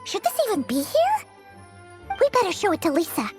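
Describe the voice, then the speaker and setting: A woman voicing a tiny, chirpy, curious fairy girl companion in a video game, with a squeaky childlike voice. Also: huge pitch swings, brisk, over loud music, full character voice. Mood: curious, suspicious.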